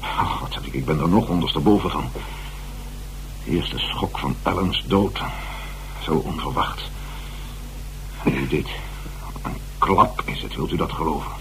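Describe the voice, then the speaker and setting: An actor playing a distraught, self-important theatre director in a radio play, speaking hesitantly and theatrically. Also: male voice, extremely low pitch, unhurried, long pauses, poor audio. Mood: shocked, self-pitying. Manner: hesitant, repeating words, theatrical. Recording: hum and hiss of old radio recording.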